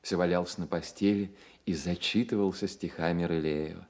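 An actor playing an ardent romantic poet and fond friend in a radio play, speaking in an expressive, literary manner. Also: male voice, low-pitched, normal speed. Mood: fondly reminiscing. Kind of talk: Soviet radio play acting.

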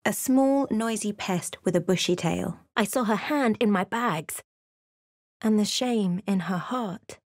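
A brooding fantasy adventurer, dry studio sound. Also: female voice, mid-range voice, measured pace, a little mellow, no room sound. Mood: brooding, measured. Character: female fantasy adventurer, brooding and watchful storyteller. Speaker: video game voice actor. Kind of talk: dramatic narrated game voice lines.

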